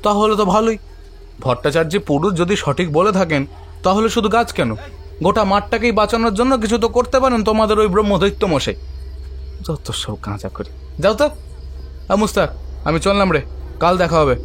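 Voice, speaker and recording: An irritated, high-voiced coach. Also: male voice, normal speed, pauses often, a bit bright. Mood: scoffing, irritated. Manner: scoffing, exasperated, colloquial. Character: irritated, sceptical male sports club coach. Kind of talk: Bengali audio drama acting.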